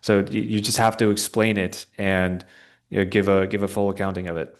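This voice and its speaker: A young man speaking as a guest, giving informal political talk in answer to questions, somewhat halting as he searches for words. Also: low-pitched, level tone. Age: young adult.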